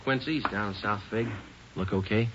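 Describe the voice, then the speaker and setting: A man playing a police detective in an old radio crime drama, speaking and narrating in clipped, deadpan, matter-of-fact phrases. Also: fairly low pitch, normal speed, noisy background, lightly acted. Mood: businesslike. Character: weary-plain, clipped male police detective sergeant narrating his case. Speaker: narrator and lead actor. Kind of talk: 1940s-50s radio crime drama, terse first-person narration. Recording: muffled old radio recording, music behind.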